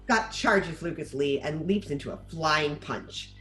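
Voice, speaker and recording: A woman's voice: low voice, a little slow, fairly bright tone, faint music behind. A woman narrator reading the stage directions of a screenplay in scripted narration.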